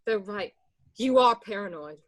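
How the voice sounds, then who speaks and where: A mid-pitched female voice of an actress plays a mobster's wife and mother. She is distrustful and frightened, speaking with emotional insistence in radio-play dialogue. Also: expressive, unhurried, lightly acted. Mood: defiant. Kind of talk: amateur online radio play acting.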